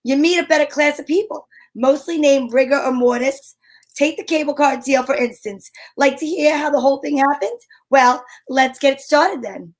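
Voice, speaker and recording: A woman's voice: high-pitched, moderate pace, natural voice. A woman playing a wisecracking, confident female private eye who narrates her case in a wry old-time radio style. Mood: wry, narrating.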